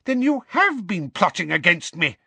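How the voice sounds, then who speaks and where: A man voicing a pompous, excitable middle-aged government minister from a storybook, blustering and spluttering with emphasis. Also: fairly high pitch, slow pace, no echo, voice pushed up, exaggerated voice. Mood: angry.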